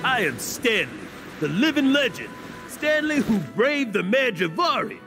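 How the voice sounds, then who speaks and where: A man's voice: extremely high pitch, very animated, full character voice. An actor playing a boastful middle-aged adventurer in a video game, telling his exploits in a grandiose, theatrical way. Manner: grandiose, theatrical storytelling with dramatic pauses. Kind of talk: English video game voice acting. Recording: loud background music under dialogue.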